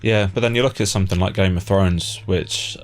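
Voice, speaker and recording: A man's voice: low voice, fairly even intonation, brisk. A man hosting a casual interview, chatting in an informal, blunt way with loose, sweary phrasing. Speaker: interviewer, host. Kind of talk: casual chat.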